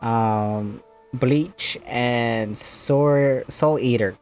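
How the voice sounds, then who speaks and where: Slow-talking casual streamer over game music. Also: medium pitch, darkish tone. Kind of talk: casual gameplay reactions.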